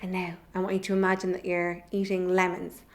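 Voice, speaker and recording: A woman's voice: on the low side, completely flat delivery, brisk. A middle-aged woman presenting a children's theatre workshop, explaining simply and guiding with encouragement. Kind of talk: children's instructional video, explaining and leading warm-up exercises. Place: indoors in a bare room at home. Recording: echoey room.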